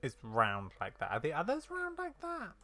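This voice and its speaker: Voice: mid-range voice, wildly swooping pitch, moderate pace, sharp tone, a little hiss or hum. A gaming streamer giving casual commentary, reading game text aloud and musing to themselves. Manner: reacts aloud to the game, muses to self.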